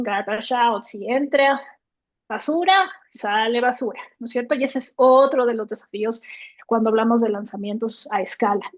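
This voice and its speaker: Higher-pitched woman, dull dry sound. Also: dry recording. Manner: explains step by step, often adds "¿no?" tag questions. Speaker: podcast co-host. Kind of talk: explanatory podcast talk on tech.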